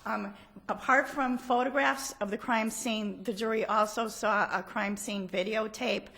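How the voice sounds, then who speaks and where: A woman prosecutor, hoarse from allergies, giving a measured, firm appellate oral argument in a courtroom. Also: mid-range voice, steady tone, bright, forceful tone. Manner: measured, factual, firm under questioning.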